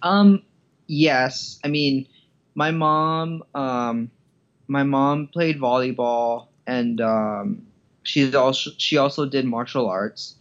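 A man's voice: medium pitch, slow. Dull lo-fi recording of a hesitant man. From Hawaii. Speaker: podcast guest, professional golfer. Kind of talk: casual interview chat.

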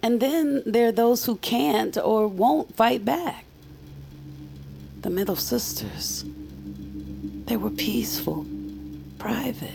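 An actress playing a weary, ominous woman mystic in audio fiction, grave and deliberate, often hushed to a whisper. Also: on the high side, creaky, a little hiss or hum, full character voice.

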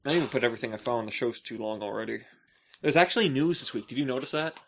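Bright-voiced man bantering on a podcast. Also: mid-range voice, very fast. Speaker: podcast co-host. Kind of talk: casual podcast chat.

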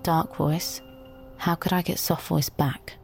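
A woman's voice: deep voice, level tone, slow pace, gravelly, faint music behind, heavily acted. A woman playing a troubled, literal-minded, questioning character in a naturalistic audio drama, earnest and hesitant. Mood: earnest, intense. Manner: hesitant, earnest, at times hushed.

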